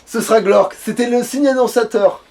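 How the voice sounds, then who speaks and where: High-pitched man bantering over a video game. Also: moderate pace, vocal fry, a little mellow. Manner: crude, jokey, sweary banter. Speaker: let's play player. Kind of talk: casual gaming commentary with a co-host.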